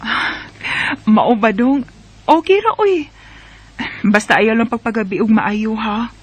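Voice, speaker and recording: A woman acting a devoted, gentle young wife and mother with a Bisaya Filipino accent in a radio drama. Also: a little high, lively intonation, slow pace, slightly rough, full character voice. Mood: gentle, caring.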